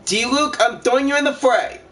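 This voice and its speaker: A man giving casual gameplay commentary, excitable and shouting at good plays, reading card text aloud in a measured way. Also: very high-pitched. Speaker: gaming channel host.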